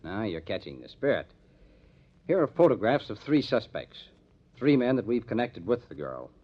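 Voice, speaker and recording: An actor playing a hard-nosed, determined police detective in an old-time radio crime drama, speaking in a measured, emphatic way. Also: male voice, on the low side, big pitch swings. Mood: grimly determined. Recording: dull lo-fi old radio recording.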